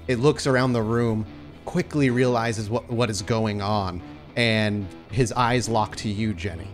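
A man's voice: lowish voice, fairly even intonation, unhurried. A man running a tabletop roleplaying game, improvising scene narration in a conversational, affirming way. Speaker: game master. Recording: music behind the voice.

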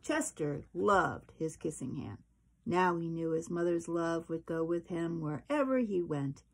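A woman's voice: lowish voice, creaky, breathy edge, low-quality recording. A middle-aged woman reading a picture book aloud to children in a small room. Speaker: storybook reader. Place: small wood-panelled room indoors.

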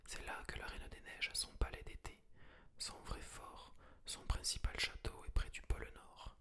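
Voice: moderate pace, pushed and bright, close to an everyday voice. A reader whispering the lines of a homesick reindeer in a fairy tale. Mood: longing. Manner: whispered throughout.

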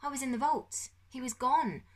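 A woman reading an audiobook, voicing a frightened, broken father who speaks weakly and pleads, raising her pitch well above her own. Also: moderate pace, lightly acted. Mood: confused, shaken. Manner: weak, shaken, pleading. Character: frightened desperate middle-aged father, broken, tearful. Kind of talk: audiobook character voice.

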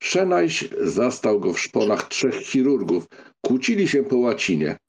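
A man narrating a literary audiobook in an expressive, scripted storytelling style. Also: mid-range voice, a little slow, husky.

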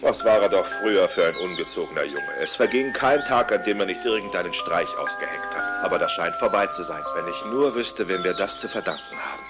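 Casting: mild farmer dad, low voice, thoughtful, cartoon drama with prominent music underneath. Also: male voice, animated, a little fast, hardly any pauses. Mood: pensive, relieved. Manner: mild, reflective. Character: middle-aged farmer father, mild, pondering his son. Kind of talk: cartoon audio drama acting.

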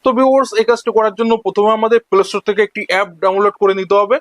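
A man's voice: high voice, very fast, a bit bright, dry recording. A man narrating an instructional screen-recording tutorial, explaining each step in turn as a voice-over. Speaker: tech tutorial host.

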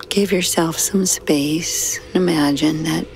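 A woman guiding a sleep meditation in a slow, soothing, hushed manner with long pauses. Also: low-pitched, steady tone, gravelly, a little hiss or hum, low-quality recording. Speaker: meditation guide. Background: soft background music.

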